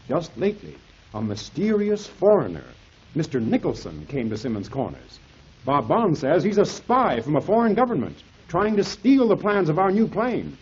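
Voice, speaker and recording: Male old-time radio announcer, rather high and very rough-edged, emphatic pitch to young listeners, muffled period recording. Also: darkish tone. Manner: emphatic, addressing children directly. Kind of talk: 1930s radio announcing, scripted.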